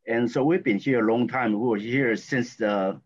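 A man from Taiwan with a Taiwanese accent, a guest talking in a halting, rambling way with lots of fillers and restarts. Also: medium pitch, a little hiss or hum. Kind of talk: casual interview talk. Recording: dull lo-fi audio.

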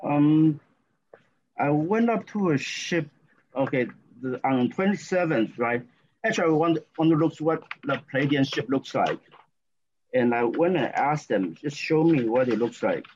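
A man from Taiwan with a Taiwanese accent, a guest talking in a halting, rambling way with lots of fillers and restarts. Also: on the high side, lively intonation, darkish tone, slight background noise. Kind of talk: casual interview talk. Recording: dull lo-fi audio.

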